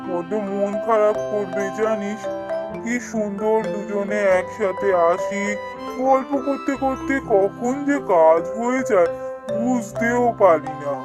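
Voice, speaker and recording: A man voicing a simple-hearted, trusting woodcutter in a children's fairy-tale cartoon, speaking earnestly. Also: high voice. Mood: sad, plaintive.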